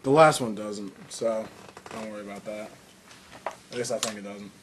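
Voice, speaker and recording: A man's voice: lowish voice, fairly even intonation, normal speed, background noise. A man casually walking through a hands-on tutorial, rambling with fillers and restarts, and coughing now and then. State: occasional cough. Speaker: video tutorial maker. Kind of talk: casual how-to demonstration.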